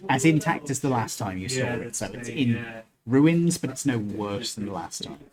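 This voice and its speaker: A man's voice: mid-range voice, lively intonation, normal speed, crowd noise. A man acting as game master, casually narrating a tabletop game and bantering with players.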